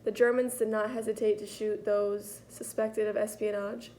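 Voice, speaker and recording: A woman playing a poised, daring female French spy chief in a school role-play interview, recounting calmly and matter-of-factly. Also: medium pitch, moderate pace, close to an everyday voice. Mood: composed.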